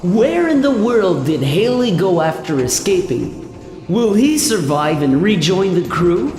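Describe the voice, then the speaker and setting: A scripted radio play narrator with an animated delivery. The voice rings with echo and long reverb, and music plays clearly behind it. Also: mid-range voice, measured pace.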